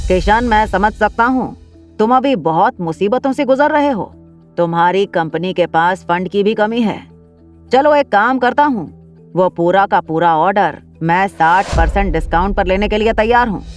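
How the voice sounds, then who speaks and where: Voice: mid-range voice, natural voice. Vishal, narrator doing a pushy businessman. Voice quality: smooth, pushy bargaining. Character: scheming middle-aged businessman. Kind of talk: audio drama single-narrator voicing.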